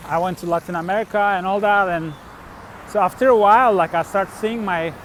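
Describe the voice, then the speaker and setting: A middle-aged man from Turkey and Switzerland tells personal travel stories casually, in a halting, rambling way with trailing thoughts. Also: sits high, a bit bright, a little hiss or hum. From born in Turkey, grew up in Switzerland. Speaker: vlogger, musician and traveler. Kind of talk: casual unscripted personal storytelling.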